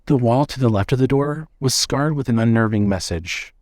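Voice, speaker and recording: A man's voice: medium pitch, normal speed, darkish tone, no echo. Male story narrator, dry studio sound.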